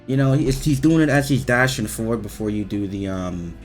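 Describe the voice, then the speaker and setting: A young man with a fairly low voice gives loose, casual game commentary. His pitch moves around a lot as he hesitates, repeats himself and talks informally. Faint music sits behind him. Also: expressive, fairly quick. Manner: hesitant, repetitive, swearing informally. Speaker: video game reviewer.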